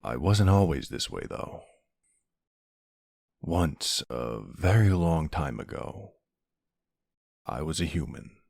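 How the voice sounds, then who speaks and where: Brooding ancient vampire hunter, played by a man, gravelly and slow, close dry sound, deliberate ASMR roleplay delivery. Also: very deep voice, animated, a little mellow, no room sound, exaggerated voice. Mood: somber, regretful. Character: brooding centuries-old male vampire who hunts vampires. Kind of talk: ASMR audio roleplay, scripted dramatic monologue.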